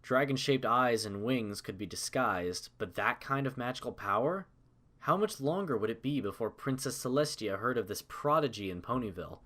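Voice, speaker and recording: A man reading scripted story narration in a measured, dramatic manner. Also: mid-range voice, fairly bright tone.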